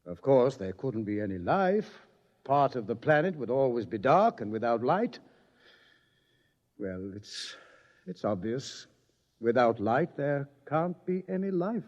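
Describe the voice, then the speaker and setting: A calm lecturing astronomer. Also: male voice, mid-range voice, a little slow. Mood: uneasy. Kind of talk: 1950s radio drama acting. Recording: muffled old radio broadcast with reverb.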